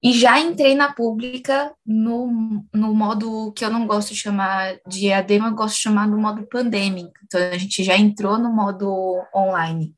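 A woman in her twenties, a university student, speaking reflectively about her studies with thoughtful pauses. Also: mid-range voice, steady tone. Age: 20s. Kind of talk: testimonial interview.

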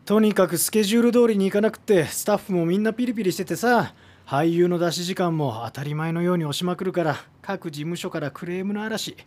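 An actress playing a weary film production colleague in a naturalistic Japanese radio drama, explaining things patiently and a little hesitantly. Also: female voice, on the low side, fairly quick, a little mellow, lightly acted. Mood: exasperated, explaining.

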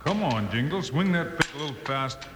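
A man playing a steady, commanding western marshal in an old radio drama, clipped and plain-spoken. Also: a little high, fairly quick, close to an everyday voice. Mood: instructing. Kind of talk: 1950s radio western acting. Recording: noisy old radio recording.

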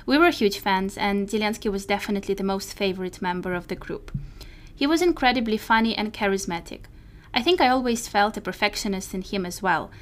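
A woman from Ukraine co-hosting a podcast, speaking in a scripted narration style. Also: mid-range voice. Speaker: podcast co-host.

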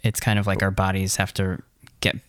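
An easygoing man with a fairly low voice, co-hosting a relaxed chat. He leans in with short agreements and quick asides. The sound is close and dry. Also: dry recording. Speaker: podcast co-host. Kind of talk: casual chat.

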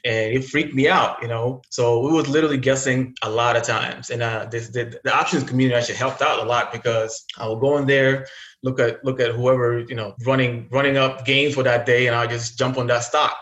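A man's voice: medium pitch, steady tone, brisk, fairly bright tone, roomy sound, highs cut off. A man in his thirties from South Sudan, whose first language is Arabic, chatting casually as an interview guest with many fillers and restarts. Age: 30s. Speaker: guest investor.

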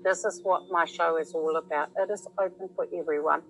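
A woman's voice: lowish voice, steady tone, brisk, a little hiss or hum, rough recording. A woman from New Zealand with a New Zealand accent hosting a casual podcast chat, rambling along with many fillers. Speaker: podcast host.

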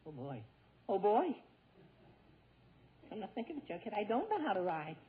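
A man's voice: sits high, wildly swooping pitch, normal speed. A man playing a lovestruck, easily flustered teenage boy in 1940s radio comedy style, gushing and stammering. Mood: exasperated. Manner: gushing, stammering, exaggerated exclamations. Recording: tinny, noisy 1940s radio broadcast.

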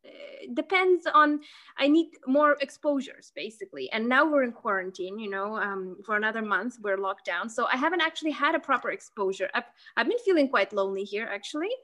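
A woman's voice: on the high side, expressive, brisk, sharp tone. A young woman from Lithuania in a casual interview, talking hesitantly with many fillers and restarts. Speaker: podcast guest.

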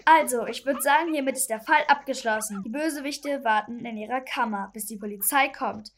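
Woman doing a confident kid detective for an audio drama, highish voice, sure of herself, supportive. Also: a little fast, a bit bright, a little hiss or hum, lightly acted. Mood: satisfied. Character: confident, supportive child detective summing up cases. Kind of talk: children's audio drama acting.

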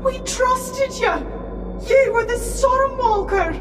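A woman's voice: very high voice, takes it slowly. A woman playing a distraught, betrayed young ally in a sci-fi audio drama, crying out with emotion. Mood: anguished. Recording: echoey hall, distant, music underneath.

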